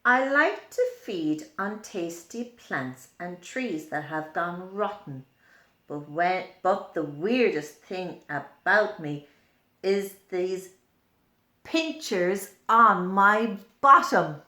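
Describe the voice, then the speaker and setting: A woman's voice: on the low side, expressive, slow, pushed and bright, roomy sound, exaggerated voice. A woman voicing cheerful little garden bugs from a picture book in emphatic, sing-song rhyme. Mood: boastful, playful. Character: cheerful little garden minibeasts boasting about themselves. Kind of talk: picture-book character read-aloud.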